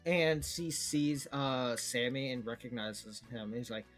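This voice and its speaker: A man narrating a tabletop game as game master over a voice call, in a loose, rambling way. He breaks into quick character voices and laughs often. Faint music plays behind him. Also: mid-range voice, a bit bright. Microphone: home microphone on a voice call.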